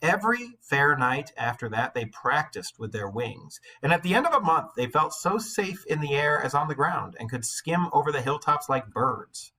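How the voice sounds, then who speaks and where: A man's voice: a little high, big pitch swings, normal speed, hardly any pauses, sharp tone, poor audio. A man reading a story aloud expressively as an English teacher, with dramatic, emphatic phrasing and explanatory asides. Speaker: high school English teacher.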